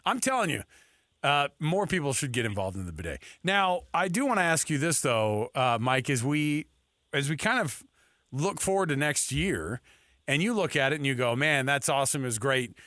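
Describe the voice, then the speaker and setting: A man's voice: a little high, very animated, normal speed, bright, forceful tone, no echo. Dry-sounding male sports radio host. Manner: informal, conversational. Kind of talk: casual banter and interviewing.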